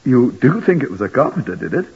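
A man's voice: mid-range voice, sing-song, quick pace, breathy edge. A man playing a brusque, fussy hotel manager, in 1940s radio acting style. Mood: brisk. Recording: distant, reverberant lo-fi radio recording.

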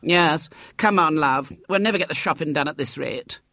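A man's voice: a little high, normal speed, bright, forceful tone, dry recording, studio quality, pitched above the performer's own voice. A man voicing a chatty, opinionated mother out shopping, in a storybook reading.

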